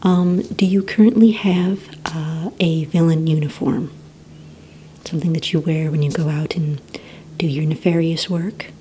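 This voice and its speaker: A woman speaks low and very mellow in a soft, breathy half-whisper. She plays a courteous, organized receptionist in an ASMR roleplay, gently and politely walking the listener along. A faint rumbling noise sits behind her voice. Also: fairly even intonation. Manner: gentle, polite, unhurried customer-service patter. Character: courteous, organized female villain-agency receptionist. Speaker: ASMR roleplay performer as a villain recruitment receptionist.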